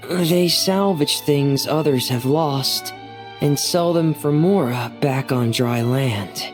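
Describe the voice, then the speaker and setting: A woman playing a shy, gentle, earnest young diver in an anime game, speaking softly and hesitantly. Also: low-pitched, slow, music in the background. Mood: troubled, earnest. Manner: hesitant, soft-spoken, earnest.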